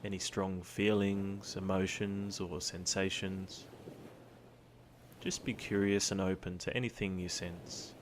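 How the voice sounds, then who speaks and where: A man guiding a meditation in a calm, soothing, gentle manner, with long pauses between his instructions. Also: deep voice, a little hiss or hum. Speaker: meditation guide. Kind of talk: guided body scan meditation. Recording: surf sounds behind the voice. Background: ocean surf ambience.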